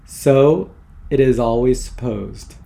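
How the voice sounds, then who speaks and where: Male reader doing a haughty, fussy mother, indignant and superior, gravelly. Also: mid-range voice, slow pace, dark tone. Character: prim haughty middle-aged mother, machine-devoted.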